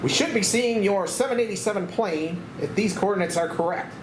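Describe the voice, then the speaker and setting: A man playing a brisk, easily astonished Coast Guard captain in a parody drama. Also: sits high, close to an everyday voice. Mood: brisk, official. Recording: echoey room with background noise.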